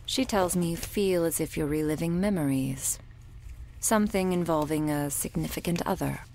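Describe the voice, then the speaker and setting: A woman playing a cold, domineering medic in an ASMR roleplay, speaking in a breathy, condescending near-whisper with quiet menace. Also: low voice, normal speed, a little hiss or hum. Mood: falsely soothing. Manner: condescending, menacing, coolly authoritative. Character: cold, sadistic, domineering woman medic running a captive operation.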